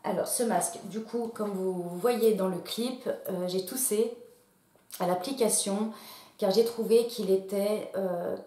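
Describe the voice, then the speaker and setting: A woman's voice: mid-range voice, measured pace, dark tone, echoey room. Chatty woman reviewing beauty products. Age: late 30s. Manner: chatty, many fillers and restarts. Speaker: beauty vlogger.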